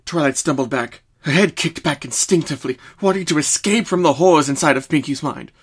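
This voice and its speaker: A woman's voice: low-pitched, fairly quick, breathy edge. A woman reading a scripted story as narrator, in an intense, theatrical style of dramatic fan fiction narration.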